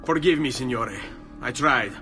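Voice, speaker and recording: An actor voicing a resolute young male assassin in a video game, terse and steady. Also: mid-range voice, normal speed, music in the background, natural voice. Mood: somber. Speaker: game character.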